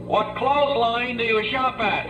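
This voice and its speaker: A man's voice: very high voice, a little slow, over a noisy crowd, pitched above the performer's own voice. A man voicing a smart-aleck heckler making mock-official announcements through a bullhorn in a stand-up bit. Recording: echoey live stage, distant mic.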